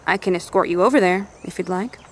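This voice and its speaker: A woman's voice: lowish voice, fast, natural voice. A woman playing a plain-spoken, no-nonsense survivor in charge of an outpost, blunt and folksy in an audio drama. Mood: matter-of-fact.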